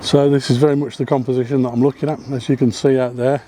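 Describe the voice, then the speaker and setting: Guy chatting to camera on a hill walk, mellow voice, lots of ums, a bit of outdoor hiss. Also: mid-range voice, level tone, fast. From not Welsh. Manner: chatty, with frequent ums and self-corrections. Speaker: photography vlogger. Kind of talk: casual vlog narration while walking. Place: outdoors on a hillside path above a seaside town.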